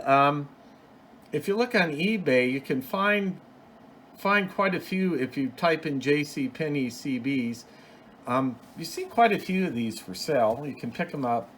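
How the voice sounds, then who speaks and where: A man's voice: mid-range voice, fairly bright tone. A man giving a casual, unscripted show-and-tell as a hobbyist host, explaining things in a rambling, plain-spoken way. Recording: clear background noise.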